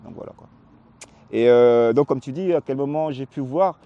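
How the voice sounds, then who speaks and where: A man's voice: medium pitch, normal speed, dry recording, highs cut off. A man in his forties from Paris, born in Réunion, chatting casually in an interview with a hesitant, repetitive, slangy delivery. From Paris, France, born in Réunion. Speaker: interviewee, hip-hop graffiti artist.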